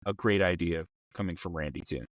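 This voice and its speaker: Slightly low-voiced man in casual chat. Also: brisk, dry recording, studio quality. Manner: agreeable, chiming in on others' points. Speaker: discussion panelist on a game master advice show.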